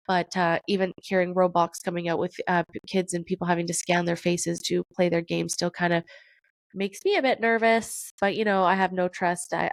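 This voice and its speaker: A woman's voice: fairly low pitch, no room sound, rough recording. A young woman with a cold, her voice nasal and congested, hosting a casual news commentary podcast and reading articles aloud with chatty asides. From Canada. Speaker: podcast host.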